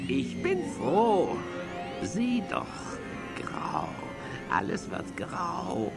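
An actor playing a grumpy, blustering little villain who cackles theatrically in a children's audio play. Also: male voice, high-pitched, wildly swooping pitch, very slow, vocal fry, over music, full character voice. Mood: gloating. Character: grumpy little cartoon villain gleefully greying the world.

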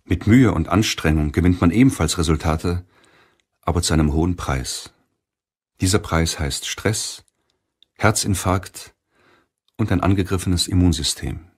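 A man reading an audiobook as scripted narration in a measured, deliberate way. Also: low-pitched, husky.

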